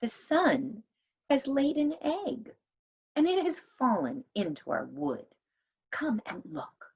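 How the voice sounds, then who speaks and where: A woman voicing an excitable, curious little girl elf in a children's storybook, earnest and exclaiming. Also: on the high side, slow pace, slightly rough, muffled recording, pitched above the performer's own voice, exaggerated voice. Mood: earnest, urgent.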